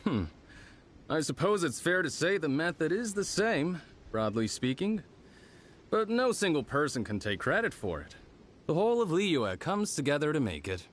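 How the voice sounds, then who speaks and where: An actor playing a friendly, patient, easygoing craftsman in a video game, encouraging, with thoughtful pauses. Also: fairly high pitch, big pitch swings, slight background noise. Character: friendly, patient male festival lantern craftsman. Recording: music behind the voice.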